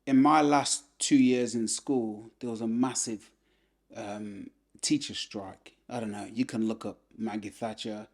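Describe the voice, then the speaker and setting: A man in his fifties with a fairly low voice, speaking as a guest on a casual podcast. He tells his story in an easy, unhurried way and leans on "you know" between thoughts. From England. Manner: relaxed storyteller, many "you know" fillers. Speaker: podcast guest, former boxer. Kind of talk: casual life-story interview.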